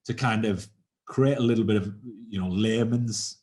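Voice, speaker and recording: A male podcast host with a somewhat low voice leads the interview. He builds his questions slowly, circling back and restarting phrases before landing on the point. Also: darkish tone. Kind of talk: interview questions, casual chat.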